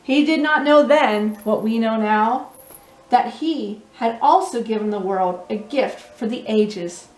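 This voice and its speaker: A woman's voice: a little high, a little slow, darkish tone. A woman hosting a children's storytime, reading expressively and speaking to young listeners with teacherly questions and asides. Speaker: children's storytime host and reader. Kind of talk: animated read-aloud with asides to young listeners. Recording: roomy echo with music underneath.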